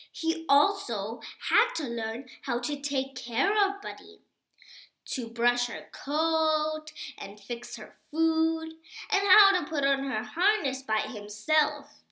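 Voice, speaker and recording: A woman reading a children's storybook aloud in an expressive, emphatic way with deliberate phrasing. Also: high-pitched, roomy sound. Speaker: reader of a children's book.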